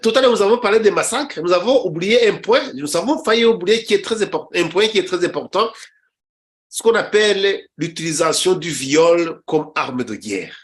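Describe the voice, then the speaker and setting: Halting, somewhat high-voiced man from Rwanda. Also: animated. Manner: halting, with frequent repeated words and restarts. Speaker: journalist and show host. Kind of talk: political commentary on a talk show.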